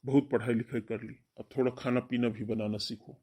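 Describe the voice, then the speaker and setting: A man's voice: medium pitch, steady tone, fairly quick, background noise, lightly acted. A man playing a stern, domineering older brother in a cartoon family melodrama, scolding and giving orders. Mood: angry, commanding. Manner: scolding, commanding.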